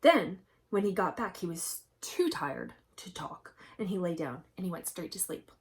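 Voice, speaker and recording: Female storyteller for young kids: husky, medium pitch, highly animated, emphatic, talks directly to the child. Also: moderate pace. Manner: expressive, emphatic, addresses children with questions.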